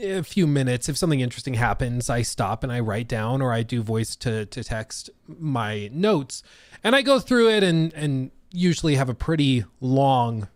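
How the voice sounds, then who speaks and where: A man's voice: mid-range voice, no room sound. A man hosting a casual live stream, slightly wheezy and out of breath, rambling with lots of fillers and restarts. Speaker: streaming host.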